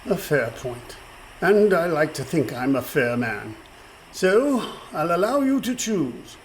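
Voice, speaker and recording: An actor playing a blustering, villainous pirate captain in a radio play, with a pompous, theatrical, mock-courteous delivery. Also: male voice, on the high side, big pitch swings, slow pace, full character voice. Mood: smug, menacing. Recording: faint background noise.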